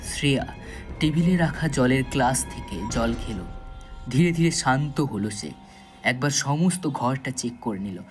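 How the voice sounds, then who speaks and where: A man's voice: medium pitch, a little slow. A man narrating a scripted thriller story in a dramatic, suspenseful storytelling manner. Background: background music.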